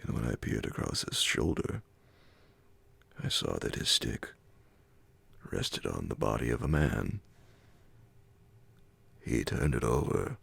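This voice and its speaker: Super deep breathy guy reading horror audiobook asmr, slow and gravelly, hushed. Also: big pitch swings. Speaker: narrator reading classic horror fiction. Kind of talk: ASMR audiobook scripted narration.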